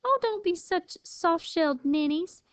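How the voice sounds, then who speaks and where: A woman's voice: high voice, big pitch swings, moderate pace, no room sound, pitched above the performer's own voice. A woman voicing a small, bold, sassy female armadillo in a storybook, perky and folksy. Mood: eager, bold.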